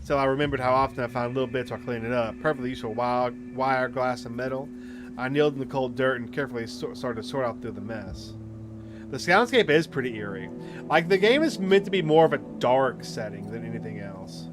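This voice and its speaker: A man's voice: mid-range voice, a little fast, over music. A man reading a visual novel aloud as a let's player, stumbling now and then and adding casual commentary. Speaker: video game let's player. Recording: clear background noise and ambience.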